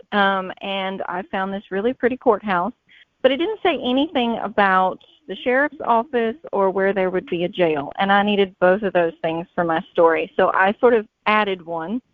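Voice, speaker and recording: A woman's voice: mid-range voice, dry recording. A woman speaking casually and conversationally as a guest author answering interview questions. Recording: thin phone-like sound.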